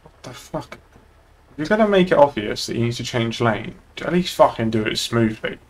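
A man's voice: medium pitch, lively intonation, moderate pace. A young man chatting casually and bluntly while streaming a game, with plenty of swearing. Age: young adult. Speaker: streamer playing a police officer in online roleplay.

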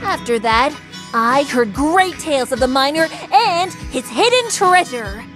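Brash young woman, game character, blunt, cocky, fairly high voice, music behind. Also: sing-song, unhurried, fairly bright tone, full character voice. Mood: smug. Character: brash, cocky young female treasure hunter. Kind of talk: visual novel character voice acting.

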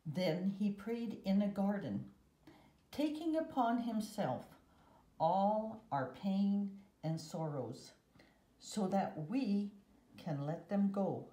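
Slow, mellow woman reading a storybook aloud. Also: medium pitch, sing-song, reverberant, low-quality recording. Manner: measured, deliberate phrasing with pauses. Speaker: storybook reader. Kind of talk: slow read-aloud of a children's Bible picture book.

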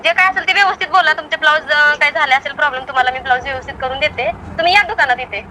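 A woman with a very high, bright voice talks insistently on the phone, with a western Maharashtrian accent. Faint traffic noise sits behind her argumentative speech. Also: rapid-fire. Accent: western Maharashtrian Marathi. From Karad area, Maharashtra, India. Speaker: tailor. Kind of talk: phone conversation.

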